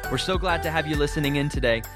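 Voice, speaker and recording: A man reading a scripted podcast intro in a smooth, even way. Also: a little high, racing, music in the background. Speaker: announcer.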